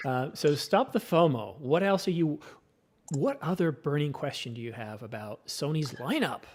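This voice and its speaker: A man's voice: a little high, lively intonation, moderate pace, darkish tone. A man hosting a live camera stream. He talks casually and upbeat, keeps the conversation moving and passes questions to his guests. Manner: upbeat, chatty, reads viewer questions.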